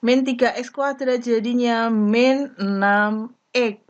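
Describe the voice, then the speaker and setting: A woman teaching math at a very slow pace, with a fairly high voice. She breaks each explanation into short, careful phrases. The recording sounds dull and lo-fi. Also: steady tone. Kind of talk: slow step-by-step math lesson.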